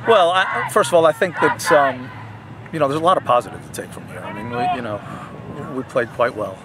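Halting older coach, outdoors. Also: male voice, mid-range voice, heavy background noise. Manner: halting, many restarts and fillers. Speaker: head soccer coach. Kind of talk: post-game interview. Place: outdoor soccer field at night.

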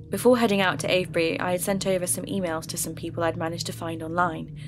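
Woman narrating over music. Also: fairly low pitch. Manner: earnest, curious, measured. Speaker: narrator and lead character. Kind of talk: scripted audio drama narration. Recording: music bed behind voice.

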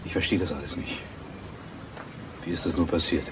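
A man's voice: deep voice, fairly even intonation, moderate pace, a bit bright, a little hiss or hum. An actor playing a controlled, clipped businessman and husband in a German radio crime drama. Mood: controlled, tense.

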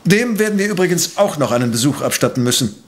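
An actor playing a rational professor detective in an audio drama, speaking precisely and emphatically in a dismissive, pedantic way. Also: male voice, fairly high pitch, sing-song, fast. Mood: businesslike, commanding. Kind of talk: German audio drama acting.

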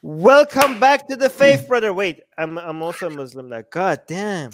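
A man in his thirties with a Persian accent, hosting a livestream with excited, casual banter. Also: high-pitched, moderate pace, background noise. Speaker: livestream host. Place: home room with bookshelves, video call.

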